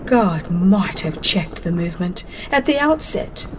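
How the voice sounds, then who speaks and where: A woman reading a dramatized religious narration in a solemn, expressive, deliberate way. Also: on the low side, noisy background. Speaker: audiobook narrator. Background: music.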